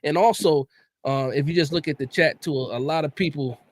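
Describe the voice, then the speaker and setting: A man's voice: a little high, fairly quick. A man hosting a casual sports livestream, laid-back and chatty, often repeating words and tossing in "man.". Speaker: podcast host.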